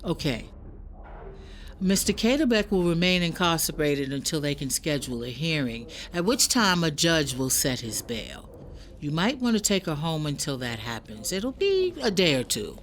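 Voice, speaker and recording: A man's voice: fairly high pitch, very animated, normal speed, fairly bright tone, slight background noise. An actor playing a businesslike male police officer in an audio drama, formal and procedural. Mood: official, matter-of-fact. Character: businesslike police officer taking a witness statement. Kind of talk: naturalistic audio drama acting.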